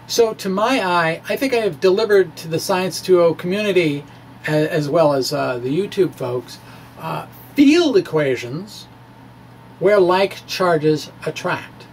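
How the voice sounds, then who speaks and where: A middle-aged man explaining physics informally to camera in a halting, conversational way with animated emphasis. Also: sits high, low-quality recording. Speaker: science video blogger. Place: small indoor room with bookshelves. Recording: clear steady background noise.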